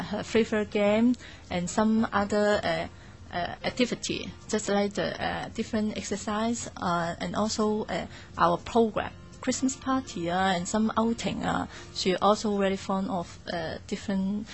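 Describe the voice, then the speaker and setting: Halting, creaky Hong Kong woman. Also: medium pitch, faint music behind. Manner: halting, searching for English words. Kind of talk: spoken interview account.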